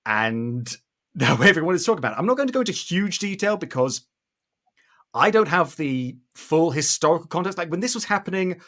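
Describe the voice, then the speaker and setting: A British man in his thirties giving animated, opinionated podcast commentary with theatrical emphasis. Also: fairly high pitch, fairly quick. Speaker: podcast host.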